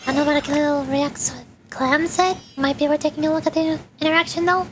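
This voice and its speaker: A woman chatting casually and playfully on a gaming livestream, with animated delivery. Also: high-pitched, fast, a little hiss or hum. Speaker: VTuber streamer, host. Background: background music.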